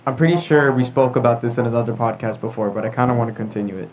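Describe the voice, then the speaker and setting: Teenage male, podcast host, medium pitch, casual, prompting, lo-fi sound, light background noise. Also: rapid-fire. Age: teens. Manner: prompting with frequent "right?" tags. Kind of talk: casual group discussion. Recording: dull lo-fi audio, background noise.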